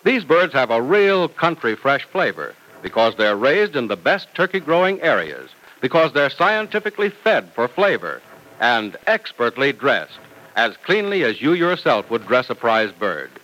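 A man's voice: mid-range voice, sing-song, normal speed, bright, forceful tone, low-quality recording. A man announcing in polished, emphatic 1940s radio style, with scripted narration and a salesman's delivery.

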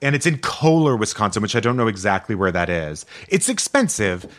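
A male co-host chats casually and rambles a little. His pitch swings widely and expressively as he talks. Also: sing-song, fairly quick, fairly bright tone. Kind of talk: casual chat.

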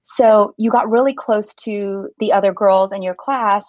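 A woman's voice: mid-range voice, fairly even intonation, measured pace, darkish tone, no echo. Thirties woman from Singapore, friendly filler-heavy chat, dry slightly dull line. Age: 30s. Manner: friendly, uses many fillers like "like" and "um". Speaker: stationery shop owner, guest. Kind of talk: casual interview chat.

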